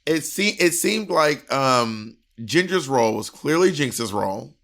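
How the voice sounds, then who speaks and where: A man's voice: mid-range voice, sing-song, measured pace, a bit bright. A man in his thirties with an American accent, co-hosting a casual chat and telling stories in an emphatic, sweary way. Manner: emphatic, profane. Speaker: podcast co-host. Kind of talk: casual storytelling and review chat. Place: indoor room at home.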